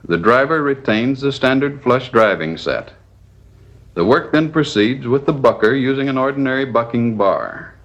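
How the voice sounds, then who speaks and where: A man's voice: medium pitch, fairly bright tone. Man narrating a 1940s training film. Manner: formal, methodical, matter-of-fact. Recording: 1940s archival film soundtrack.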